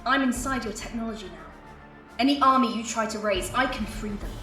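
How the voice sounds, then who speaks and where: A woman's voice: fairly high pitch, brisk. A woman playing a defiant, weary alien time traveller in an audio drama, intense, wry and declamatory. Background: loud music and sound effects.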